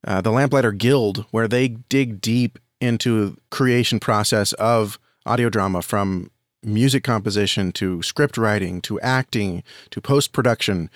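A man's voice: lowish voice, measured pace, dry recording, studio quality. A man speaking as an interview guest, giving earnest, explanatory advice in a conversational way. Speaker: podcast guest, audio drama creator and reviewer.

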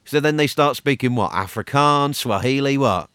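A man's voice: medium pitch, moderate pace, fairly bright tone, clear, resonant tone, no echo, clean studio sound. A British man co-hosting a podcast, asking teasing questions in casual banter. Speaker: podcast co-host, interviewer.

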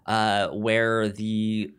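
A man's voice: lowish voice, completely flat delivery, extremely slow, fairly bright tone, smooth. A man casually discussing a film as a podcast co-host, often stammering and repeating words, and acting out characters' lines. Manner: animatedly acts out characters' lines.

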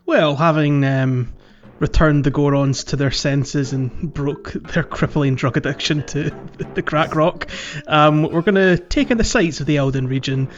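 A man's voice: a little high, unhurried, few pauses, lo-fi sound, slight background noise. A man hosting a casual gaming podcast, chatting in a jokey way and prone to chuckling. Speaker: podcast host.

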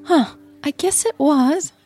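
A woman voicing a playful, flirty younger woman in an audio romance, breathy, soft-spoken and teasing. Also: high voice, takes it slowly, soft background music, voice pushed up.

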